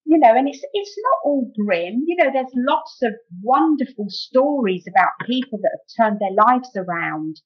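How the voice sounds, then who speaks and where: A middle-aged woman with a British accent talks casually as a novelist being interviewed. Her voice sits fairly high, and her speech is chatty and conversational. The recording sounds muffled and a little dull. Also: lively intonation, moderate pace, hardly any pauses, husky. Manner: chatty, frequent "you know".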